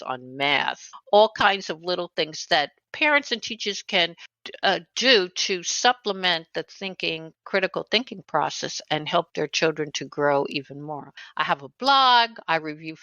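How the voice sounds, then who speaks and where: A woman's voice: deep voice, bright, forceful tone, no echo. An older woman from New City, a guest talking casually in an interview, with many fillers and restarts. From New York City. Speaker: guest, author and educator. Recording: dull lo-fi sound.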